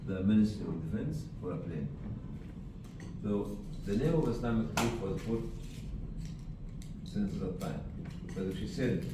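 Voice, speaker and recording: Man, low voice, animated storyteller, heard from far off with noise behind. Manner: animated, acts out dialogue, laughs often. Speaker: charity founder telling stories to staff. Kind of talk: anecdotal storytelling to a group. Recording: echoey room from far off with background noise.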